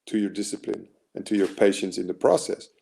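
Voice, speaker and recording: A man in his forties with an American accent speaks in a low, gravelly, mellow voice. He is a mental performance coach giving a motivational webinar talk. He is earnest and rambling, and often restarts his sentences. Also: fairly quick.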